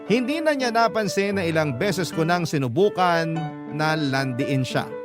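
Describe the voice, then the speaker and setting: Male radio drama narrator, fairly high pitch, scripted confessional storytelling over prominent music. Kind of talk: first-person scripted narration of a love story. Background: music bed with violin.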